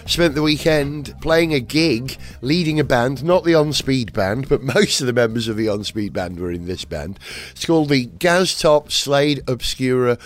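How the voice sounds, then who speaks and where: A man hosting a podcast, his voice gravelly and husky from a weekend of singing, chatting in an animated, storytelling way. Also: mid-range voice, moderate pace. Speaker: podcast host. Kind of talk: casual podcast chat.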